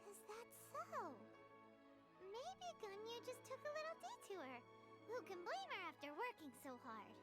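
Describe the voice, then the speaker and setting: A woman's voice: very high voice, very animated, a little slow, loud music behind the voice, heavily acted. A woman voicing a tiny, childlike female fairy companion in a video game who chatters away and talks about herself in the third person. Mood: chirpy.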